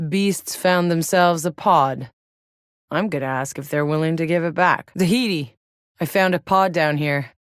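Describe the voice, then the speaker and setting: A woman's voice: low-pitched, dry recording. An actress playing a tough, sardonic, weary but determined woman soldier in a video game, with a terse, dry, hard-edged delivery. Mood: dry, matter-of-fact. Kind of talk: acted video game voice lines.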